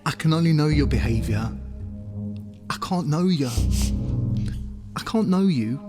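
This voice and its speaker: An actor playing a bluntly cruel, self-loathing boyfriend in an audio drama, with an accusing, emotionally charged delivery. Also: male voice, on the high side, animated, a little slow, over music. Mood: accusing, agitated. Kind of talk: dramatic audio play dialogue.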